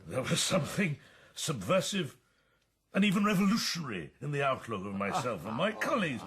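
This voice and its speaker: Husky, pompous pig dictator. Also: male voice, on the high side, sing-song, fairly quick, a bit bright, exaggerated voice. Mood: pompous, smooth. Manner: formal, self-important speechmaking. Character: pompous male pig leader making a smooth toast. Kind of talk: radio drama acting.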